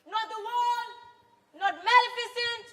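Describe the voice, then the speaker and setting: Girl playing an anguished, high-voiced hot-tempered king. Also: a little slow, heavily acted. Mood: furious. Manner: loud, declamatory, urgent. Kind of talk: theatrical school stage play acting. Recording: echoing school hall, distant.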